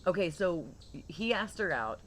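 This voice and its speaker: A woman in her thirties or forties co-hosting a casual talk show with relaxed, conversational banter. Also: fairly low pitch, unhurried. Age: 30s or 40s. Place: screened porch with open greenery outside. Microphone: shared tabletop condenser microphone. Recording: clear outdoor ambient noise.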